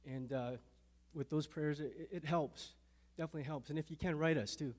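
A man in his thirties from Canada, telling his faith testimony in an interview, halting and repeating words, growing quiet on hard memories. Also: fairly high pitch, fairly even intonation. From Canada, born in a Thai refugee camp to Lao parents. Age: 30s.

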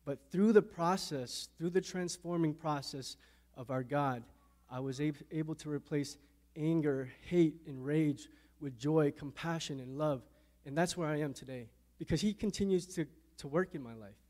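A man in his thirties from Canada, telling his faith testimony in an interview, halting and repeating words, growing quiet on hard memories. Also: a little high. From Canada, born in a Thai refugee camp to Lao parents. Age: 30s.

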